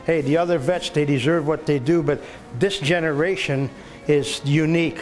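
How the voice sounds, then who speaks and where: A man's voice: a little high, steady tone, moderate pace, soft background music. A man in his seventies from Belgium, chatting casually in a folksy, agreeable way while filming a visit. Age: 70s. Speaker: home videographer and visitor chatting with a veteran. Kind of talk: casual chat.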